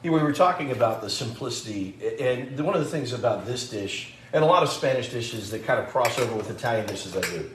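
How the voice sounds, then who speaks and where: A man's voice: medium pitch, expressive, quick pace, few pauses. A middle-aged man talks viewers through a simple recipe, chatting easily and greeting them by name. His voice sits in the middle range. The kitchen sounds roomy and echoey, with faint background noise. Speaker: cooking show host. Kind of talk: casual live cooking demo. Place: home kitchen.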